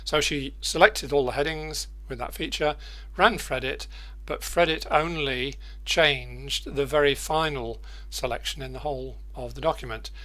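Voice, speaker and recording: Hesitant older man giving a software tutorial. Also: medium pitch, steady tone, normal speed, rough recording. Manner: hesitant, thinking aloud while demonstrating. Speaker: presenter of editing macro tutorials. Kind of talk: informal screencast software tutorial.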